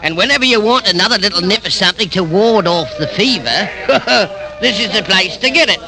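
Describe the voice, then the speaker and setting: An actor playing an oily, chatty barkeeper in 1950s radio drama. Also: male voice, sits high, animated, brisk, creaky, low-quality recording. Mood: obliging, oily. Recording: old radio drama, music behind.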